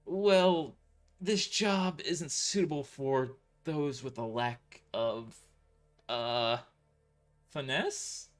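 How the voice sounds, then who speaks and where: A man's voice: mid-range voice, drawn-out words, soft background music. A man voicing a sheepish young office dispatcher, hesitant, stammering and apologetic, in an audio drama.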